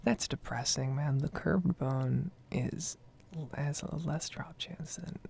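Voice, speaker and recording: A woman speaking in a breathy whisper, rambling casually with some swearing in an ASMR gaming video. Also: low-pitched, slow, dark tone, slight background noise.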